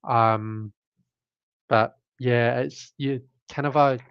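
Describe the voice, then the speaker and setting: A man's voice: medium pitch, steady tone, slow, clean tone, no room sound, dull audio. A man hosting a live stream, chatting casually and reading chat, rambling with many fillers and restarts while his throat keeps catching. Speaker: livestream host reading chat.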